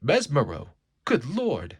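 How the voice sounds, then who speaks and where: A man's voice: on the high side, huge pitch swings, slow, breathy edge, no room sound, clean studio sound, pitched above the performer's own voice, heavily acted. A man voicing a commanding, stern superhero team leader in a comic book read-aloud.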